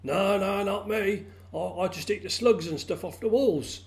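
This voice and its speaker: A man's voice: high voice, a little slow, gravelly, voice pushed up. Man playing a blunt, incredulous British bully frog. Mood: panicked. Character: big bullying well frog, male, ignorant and easily spooked.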